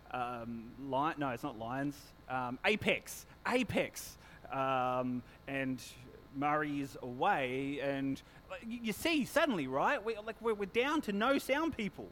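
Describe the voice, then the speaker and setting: A man with a loud voice, a pastor giving church notices and a sermon in a chatty, self-mocking way, joking with the congregation. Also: high-pitched, slight background noise. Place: church hall. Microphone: handheld or stand microphone.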